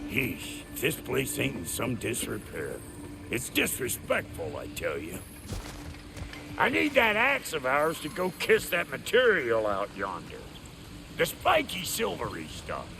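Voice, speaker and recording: An actor playing a gruff, foul-mouthed dwarf blacksmith in a video game, with a gravelly voice, an American accent and a folksy, grumbling way of talking. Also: male voice, medium pitch, wildly swooping pitch, measured pace, background noise, exaggerated voice. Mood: grumpy, irritated. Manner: folksy, crude, grumbling, colloquial. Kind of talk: video game character acting. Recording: game dialogue over background music.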